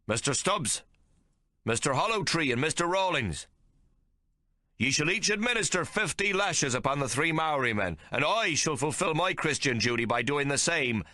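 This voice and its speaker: A man's voice: a little high, big pitch swings, moderate pace, bright, forceful tone, dry recording, studio quality, voice pushed up. A man voicing a zealous, pompous whaling captain who preaches in archaic thee-and-thou speech. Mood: pronouncing sentence.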